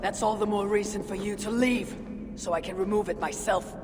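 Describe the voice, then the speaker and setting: A woman's voice: medium pitch, fairly even intonation, fairly quick, darkish tone, close to an everyday voice. A woman with a Scandinavian accent playing a stubborn, self-assured Viking raider in a video game, blunt and defiant. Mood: angry defiance. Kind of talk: dramatic video game cutscene acting. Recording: long reverb with clear background noise.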